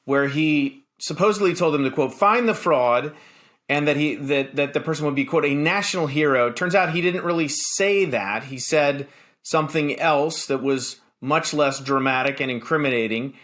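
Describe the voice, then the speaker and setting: American male talk host, slightly high voice, halting but emphatic conversational commentary. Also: a bit bright. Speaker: political talk show host.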